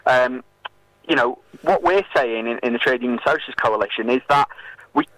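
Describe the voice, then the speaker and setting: A British man with a mid-pitched voice, recorded dry and close in a radio interview. He argues his case as a campaigner, hesitating often and filling his pauses as he explains. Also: a bit bright, no room sound, poor audio. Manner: halting, hesitant delivery with many filled pauses. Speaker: political campaigner and interview guest.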